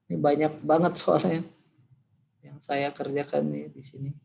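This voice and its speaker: A male lecturer, his voice hoarse and gravelly with fry. He walks a class through a demonstration in a loose, informal way, thinking aloud with fillers. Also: fairly high pitch, little pitch movement, moderate pace, darkish tone, a little hiss or hum.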